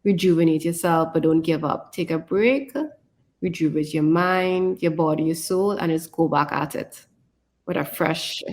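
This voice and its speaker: A woman's voice: low-pitched, steady tone, normal speed, darkish tone. A woman author chatting casually about writing in an encouraging way, often repeating phrases for emphasis. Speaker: author, co-presenter.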